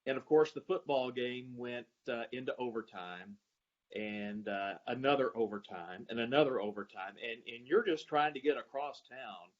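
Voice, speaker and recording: Male announcer as interview guest, middle pitch, halting delivery, slightly muffled lo-fi line. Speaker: guest, arena public address announcer. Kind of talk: conversational interview answers.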